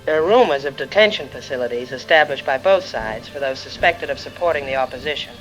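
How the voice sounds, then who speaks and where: A woman's voice: rumbling low, lively intonation, fast talker, soft background music. A woman playing a serious, formal war journalist who hosts a podcast and asks probing questions in an audio drama. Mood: serious, inquiring. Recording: dull lo-fi audio with clear background noise.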